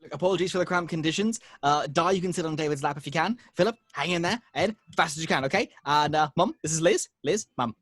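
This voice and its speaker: A man's voice: fairly high pitch, fast talker, few pauses, a bit bright, no echo, studio quality. A man playing a flustered, well-meaning young man trying to lead, in an amateur table read. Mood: flustered, brisk.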